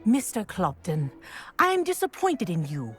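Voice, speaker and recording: A woman's voice: mid-range voice, huge pitch swings, moderate pace. Stern female teacher, clipped, authoritative, swooping intonation, game drama, music behind. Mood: stern reproach. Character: stern female flying professor, strict but quietly approving.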